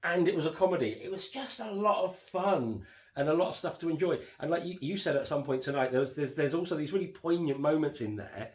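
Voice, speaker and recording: A man co-hosting a casual home podcast, rambling with lots of fillers and restarts, his voice going hoarse. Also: a little high, brisk, darkish tone. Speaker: podcast co-host reviewing a TV series. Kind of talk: casual chat.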